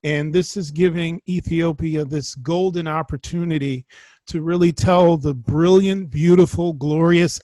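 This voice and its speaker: A male podcast host with a fairly high voice speaks effusively and with emphasis. He stacks adjectives like a showman, and the sound is dry and close. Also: a little mellow, dry recording. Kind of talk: interview talk with ringmaster flourishes.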